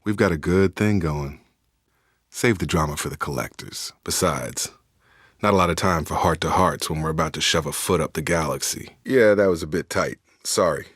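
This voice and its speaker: A man playing a young, steady, principled soldier in a sci-fi video game, speaking in direct, clipped military phrases. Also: extremely low pitch, normal speed, breathy edge, dry recording, clean studio sound, exaggerated voice. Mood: measured, matter-of-fact.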